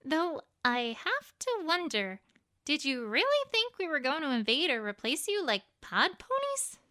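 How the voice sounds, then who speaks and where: Bright, high-pitched, earnest young mare voiced by a woman. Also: wildly swooping pitch, full character voice. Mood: earnest, relieved. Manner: halting, earnest speech with stammers. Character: young female pony student, earnest, bashful, bookish. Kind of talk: audio drama fan fiction reading.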